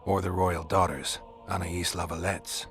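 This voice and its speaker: A man's voice: low voice, flat delivery, measured pace, fairly bright tone, soft background music. A man playing a stoic, world-weary mercenary monster hunter in a fantasy video game, speaking in a terse, dry, understated way. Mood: pressing. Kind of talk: dramatic fantasy game acting.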